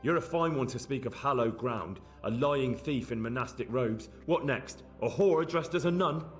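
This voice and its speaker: An actor playing a bold, blunt young investigator in a video game, direct and challenging. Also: male voice, medium pitch, normal speed, soft background music, close to an everyday voice. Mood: menacing.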